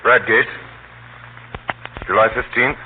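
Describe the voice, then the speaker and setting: An actor playing an urgent, confident spy-hunter in 1930s radio drama style, with emphatic, deliberate speech. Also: male voice, mid-range voice, natural voice. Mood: clipped, reporting. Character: urgent, sharp-minded male amateur spy-hunter, insistent and confident. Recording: scratchy, tinny 1930s radio broadcast.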